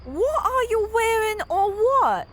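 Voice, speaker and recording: A woman's voice: very high voice, big pitch swings, slow pace, a little hiss or hum, exaggerated voice. A woman playing a brusque, suspicious and cruel workhouse overseer who snaps and scolds, in a children's audio drama. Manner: snappish, scolding.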